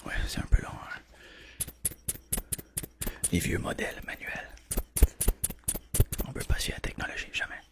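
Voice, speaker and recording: A man whispers gently and reassuringly, playing a doctor in an ASMR roleplay, with a breathy voice and softly repeated words. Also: a little slow, pauses often. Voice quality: breathy whisper mixed with soft, creaky low voice.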